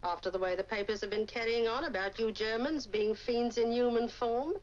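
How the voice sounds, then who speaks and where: An actress playing a chatty, middle-aged English village widow in 1940s British film style, with a gossipy manner. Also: female voice, a little high, steady tone, a little fast, darkish tone, lightly acted. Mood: chatty, genteel. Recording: muffled, noisy 1940s film soundtrack.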